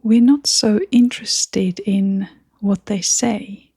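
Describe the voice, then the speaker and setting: A woman leading a scripted guided meditation in a soft, breathy, near-whispering voice, gentle and soothing, with long pauses. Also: mid-range voice, steady tone, dry recording, clean studio sound.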